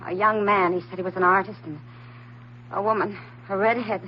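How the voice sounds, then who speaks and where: A woman's voice: mid-range voice, fairly even intonation, natural voice. Woman acting a frightened, hesitant radio-drama heroine. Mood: shaken, hesitant. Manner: hesitant, halting, earnest. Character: frightened, naive woman, anxious and pleading. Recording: dull, slightly noisy old radio recording.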